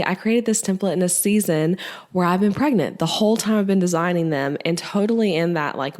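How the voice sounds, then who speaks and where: Thirties woman, podcast host, lower-pitched, a bit stuffy from a cold, bubbly filler-heavy chat. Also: a little mellow. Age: 30s. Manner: chatty and upbeat, filler-heavy with many "like"s. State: slightly congested from a cold. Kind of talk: casual solo podcast talk.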